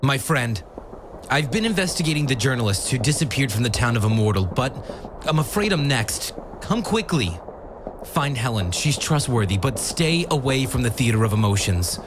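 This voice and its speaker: An actor playing an anxious journalist voicing a warning letter in a game, earnest, grave and urgent. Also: male voice, mid-range voice, sing-song, normal speed, noisy background, close to an everyday voice. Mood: grave, worried. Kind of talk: scripted game voice-over of a letter.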